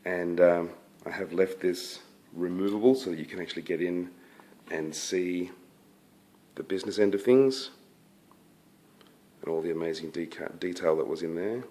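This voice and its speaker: A man with a croaky voice from being sick, casually reviewing a finished scale model he built, with a relaxed, hesitant way of talking. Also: deep voice, moderate pace, long pauses. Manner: relaxed, hesitant, many fillers and restarts. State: croaky from illness. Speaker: scale model hobbyist presenting his build.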